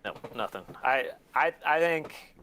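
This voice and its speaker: A man's voice: medium pitch, lively intonation, measured pace, a bit bright, a little hiss or hum. Blunt, sweary man chatting casually. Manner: sweary, blunt, laughs easily. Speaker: interview guest, collector and documentary maker. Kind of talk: casual chat, storytelling.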